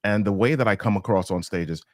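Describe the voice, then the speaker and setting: A man's voice: fairly low pitch, fast talker, no room sound, clean studio sound. A man, a guest business owner, explaining a point on a live panel and often checking in with "right?". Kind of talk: panel discussion. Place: home studio with accent lighting.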